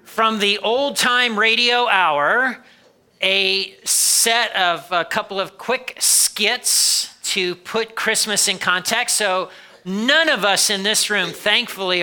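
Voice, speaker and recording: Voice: mid-range voice, pushed and bright, smooth. A presenter giving a live, jokey, explanatory introduction to a skit.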